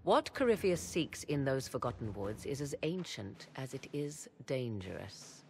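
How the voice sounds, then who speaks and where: An actress voicing a haughty, knowing fantasy game sorceress who speaks in deliberate, formal, archaic phrases. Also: female voice, very deep voice, very animated, gravelly, darkish tone, a little hiss or hum, full character voice. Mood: haughty, cryptic.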